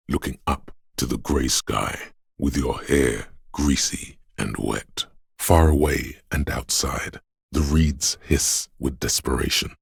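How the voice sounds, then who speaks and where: Very deep, gravelly brooding city spirit. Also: male voice, no echo, clean studio sound, heavily acted. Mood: somber. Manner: slow, hushed, ominous, solemn. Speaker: game voice actor. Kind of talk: poetic second-person game narration.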